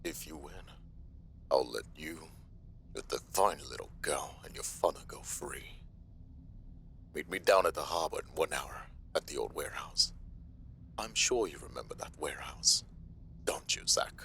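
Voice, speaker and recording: A man playing a menacing, gloating mobster villain in an audio roleplay, with slow, theatrical taunts and mocking politeness. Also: extremely low pitch, animated, creaky, fairly bright tone, slight background noise, full character voice. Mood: menacing taunting.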